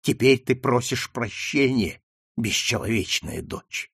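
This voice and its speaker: A man voicing a stern aristocratic older mother who commands her daughter in sharp outbursts, in an audiobook reading. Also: medium pitch, slow, husky, no echo, studio quality. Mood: reproachful.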